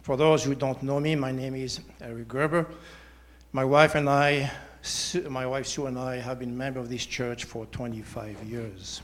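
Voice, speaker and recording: A man, giving a prepared personal testimony in a measured, deliberate way. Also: mid-range voice, fairly even intonation. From Switzerland. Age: 70s. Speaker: church member giving testimony.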